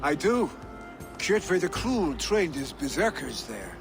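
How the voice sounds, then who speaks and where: An actor playing a hot-blooded, restless young Viking warrior in dramatic game dialogue. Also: male voice, fairly high pitch, sing-song, takes it slowly, creaky, over music, exaggerated voice. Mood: boisterous.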